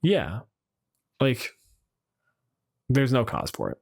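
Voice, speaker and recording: A man's voice: mid-range voice, wildly swooping pitch, slow pace, vocal fry, breathy edge. A man co-hosting a podcast, chatting casually and wryly with plenty of swearing. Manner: casual, profane, wry. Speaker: podcast co-host. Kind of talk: casual chat about tabletop game monsters.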